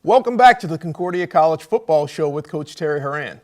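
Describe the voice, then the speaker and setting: A man's voice: a little high, lively intonation, a little fast. A man with an American accent hosting a casual sports interview show, with some noise in the recording.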